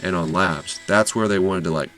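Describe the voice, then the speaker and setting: Deep-voiced man summarizing an earnings call. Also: moderate pace, a bit bright, faint music behind. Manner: conversational, many fillers and self-corrections. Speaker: investing channel host.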